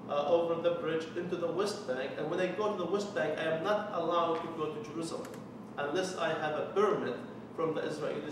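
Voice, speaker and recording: A man in his forties from Palestine with a Palestinian Arabic accent, a church mission developer giving an earnest personal testimony with wry jokes. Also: on the high side. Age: 40s. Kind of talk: personal testimony talk to a committee. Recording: echoey room from far off, with noise.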